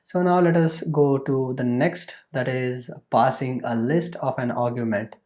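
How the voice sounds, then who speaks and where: Casual coding tutor, a man of middle pitch who rambles through examples with fillers. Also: steady tone, unhurried, darkish tone. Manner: loose, repetitive explaining with many fillers and restarts. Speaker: programming tutor. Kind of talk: screen-recorded coding tutorial.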